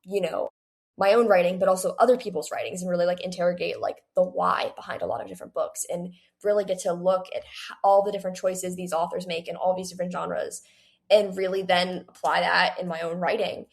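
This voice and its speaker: A woman's voice: on the low side, steady tone, brisk, gravelly. A woman of eighteen answering interview questions as a guest author in a chatty, conversational way, often saying "like". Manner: chatty, heavy use of "like". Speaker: guest, young adult author.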